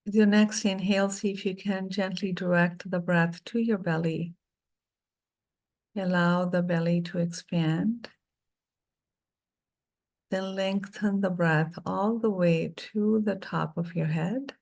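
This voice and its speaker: Slow, soothing woman guiding meditation. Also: on the low side, fairly even intonation, gravelly. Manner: slow, soft and soothing, with long pauses. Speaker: meditation guide. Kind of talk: guided meditation.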